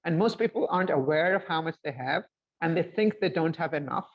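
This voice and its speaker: A man's voice: on the high side, animated, fairly quick. Reflective man on a video call. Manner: reflective, gestures a lot while talking. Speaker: guest. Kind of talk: casual video-call conversation. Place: home room with bookshelves. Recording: slightly dull lo-fi call audio.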